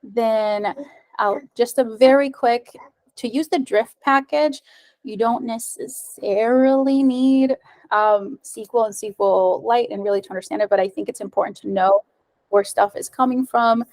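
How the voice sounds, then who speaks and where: A woman's voice: a little high, moderate pace, darkish tone, no echo. A woman in her thirties whose first language is Spanish, giving a casual, friendly tech meetup talk over slides on a video call, with frequent ums and uhs. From United States. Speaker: meetup speaker, mobile developer. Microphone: webcam on a video call.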